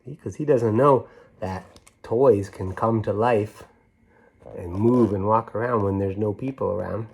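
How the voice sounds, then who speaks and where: A man reading a children's picture book aloud, gently, with chatty asides as if talking to young children. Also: on the low side, measured pace, creaky, breathy edge. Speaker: storybook reader.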